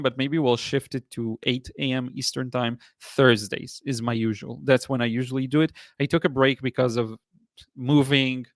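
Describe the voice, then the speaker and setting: A man in his thirties with an Israeli accent, hosting a painting livestream and answering viewer comments in a relaxed, halting way with many fillers. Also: mid-range voice, no room sound, clean studio sound. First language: Hebrew. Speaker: watercolor artist and livestream host. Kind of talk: casual livestream chat reading comments.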